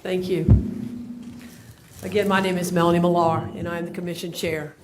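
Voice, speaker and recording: A woman's voice: on the low side, flat delivery, fairly quick. Woman chairing a public commission meeting, formal delivery on a table mic, faint noise. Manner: formal, procedural, with fillers. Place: county meeting chamber. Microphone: table microphone.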